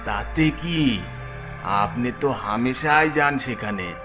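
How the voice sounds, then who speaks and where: A man's voice: medium pitch, takes it slowly, natural voice. A man playing a gaunt, eerie poor villager in a horror story, pleading in a plaintive, insistent way. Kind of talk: dramatised Bengali horror audio story. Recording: music under the voice.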